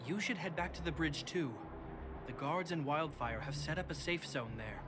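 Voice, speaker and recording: A man voicing a protective young soldier in a video game, earnest and measured, with a reassuring manner. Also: on the high side, expressive, heavy background noise, lightly acted. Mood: alert, steady. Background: game music.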